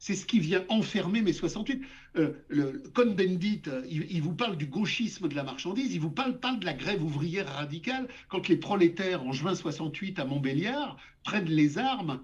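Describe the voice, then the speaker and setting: An older man holds forth as an interview guest, his voice pitched fairly high for a man. He lectures with emphasis, stacking up examples and repeating words as he drives his points home. The audio sounds dull and lo-fi. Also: lively intonation, moderate pace. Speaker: guest essayist. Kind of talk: interview discussion.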